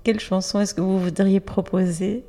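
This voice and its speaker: A woman's voice: medium pitch, steady tone, normal speed, mellow. Hesitant woman in an echoing room. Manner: hesitant, many fillers. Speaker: project leader. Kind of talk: thank-you speech and introduction.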